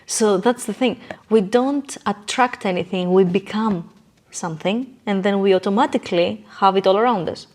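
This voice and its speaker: A woman talks as the guest in a podcast conversation. She explains things earnestly and with emphasis, often rounding off a point with a short tag question. Her pitch sits in an average range for a woman. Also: moderate pace, a little mellow. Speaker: interview guest, coach.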